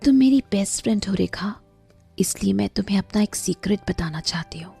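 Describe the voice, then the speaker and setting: A woman's voice: mid-range voice, animated, a little fast, vocal fry, dark tone, slightly rough, dry recording, faint music behind, exaggerated voice. A woman voicing a rich swindler who poses as a best friend, coaxing and confiding, in a storybook tale. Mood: secretive, coaxing.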